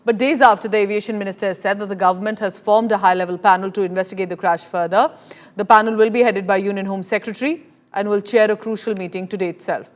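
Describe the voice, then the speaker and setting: A woman's voice: medium pitch, fairly even intonation, fast talker. A woman with an Indian accent presenting live news as an anchor, emphatic and explanatory in delivery.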